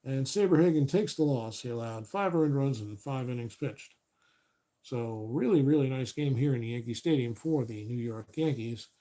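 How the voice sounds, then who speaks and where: Guy calling a board game baseball replay, mellow voice, a bit raspy, chill and counting stats out loud. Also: mid-range voice, moderate pace. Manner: relaxed, self-correcting, counting stats aloud. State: voice getting a little raspy. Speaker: host replaying tabletop baseball games.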